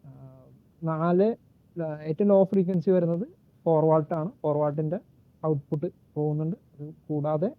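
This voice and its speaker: A man giving a casual, unscripted gadget walkthrough in a halting way, searching for words and correcting himself. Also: on the high side, fairly even intonation, no room sound. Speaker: gadget reviewer and demonstrator. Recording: muffled phone-like narrow-band sound.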